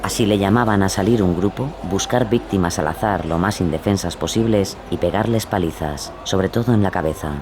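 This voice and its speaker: A man's voice: low-pitched, brisk, few pauses. A man with a Spain accent narrating a scripted true-crime case. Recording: clear background ambience noise.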